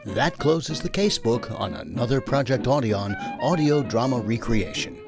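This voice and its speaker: A man announces scripted closing credits. His pitch is mid-range and moves around in a lively way. Loud music plays underneath the whole voice. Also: normal speed. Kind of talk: scripted narration.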